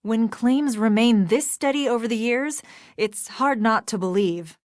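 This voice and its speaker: A woman voicing a knowledgeable, formal expert in a video game, speaking in a measured and precise way. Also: fairly high pitch, no echo, clean studio sound, natural voice. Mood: grave, informative. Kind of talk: scripted video game dialogue.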